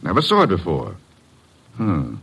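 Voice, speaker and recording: An actor playing a stuffy, indignant older husband in an old-time radio drama, formal and blustering. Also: male voice, sits low, huge pitch swings, measured pace, gravelly, fairly bright tone, husky, full character voice. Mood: flustered.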